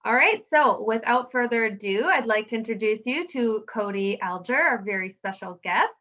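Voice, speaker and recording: A woman's voice: a little high, expressive, moderate pace. A woman hosting an online interview in an effusive, enthusiastic manner over a video call. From Wisconsin. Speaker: interview host. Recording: dull, lo-fi video call audio.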